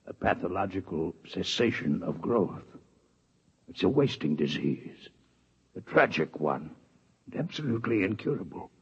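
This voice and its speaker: A man playing a calm, formal male alien doctor from another planet in a 1950s radio drama, speaking with precise, unhurried diction. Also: low voice, husky, full character voice. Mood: measured. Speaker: visiting alien scientist. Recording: dull lo-fi 1950s radio broadcast.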